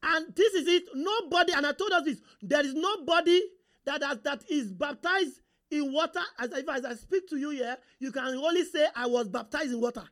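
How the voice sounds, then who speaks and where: A man's voice: very high-pitched, normal speed, fairly bright tone. A male preacher with a Nigerian accent, whose first language is Yoruba, giving a sermon and constantly asking the congregation questions and for agreement. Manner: call-and-response, rhetorical questions to the congregation.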